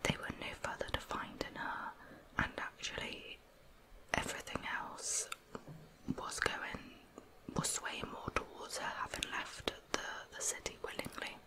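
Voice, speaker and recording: A woman's voice: a little slow, rough recording. Whispering woman telling true crime. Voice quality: breathy, unvoiced whisper. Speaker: ASMR true crime storyteller, channel host.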